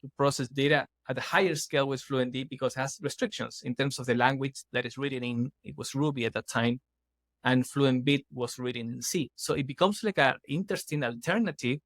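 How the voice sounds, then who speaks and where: A man's voice: mid-range voice, fairly quick, fairly bright tone, no room sound. Man. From Chile. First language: Spanish. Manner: earnest, explaining at length with restarts and fillers. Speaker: guest, startup CEO. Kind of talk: casual podcast interview.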